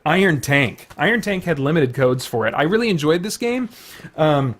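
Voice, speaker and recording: A man with a fairly high-pitched voice hosts an informal, unscripted video. He rambles casually, thinking aloud as he moves from point to point. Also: animated, moderate pace, fairly bright tone. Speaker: video host. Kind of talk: casual unscripted chat about retro games.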